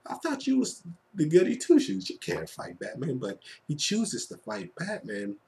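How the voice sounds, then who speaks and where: A man's voice: a little high, wildly swooping pitch, moderate pace, a little mellow. A man giving a casual, enthusiastic film review, gushing conversationally and repeating phrases for emphasis. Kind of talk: casual, enthusiastic fan review to camera or mic.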